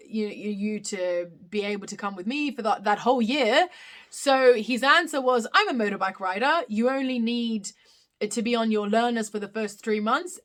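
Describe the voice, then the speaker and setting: A woman's voice: mid-range voice, fairly bright tone. A young woman with an Australian accent with slight British touches, hosting a casual live question-and-answer chat with many ums and self-corrections. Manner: chatty, rambling, frequent ums and self-corrections. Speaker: live stream host.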